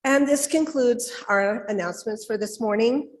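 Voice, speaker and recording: A woman's voice: a little high, moderate pace. A middle-aged or older woman leading a church service, addressing the congregation in a large auditorium. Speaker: service leader. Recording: long hall reverb.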